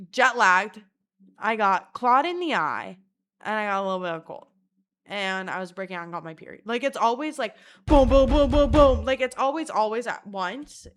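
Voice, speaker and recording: A woman telling a rambling, profane story in a casual vlog, mocking herself and breaking into loud laughter. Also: mid-range voice, a little hiss or hum. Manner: self-mocking and animated, bursts of loud laughter. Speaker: vlogger.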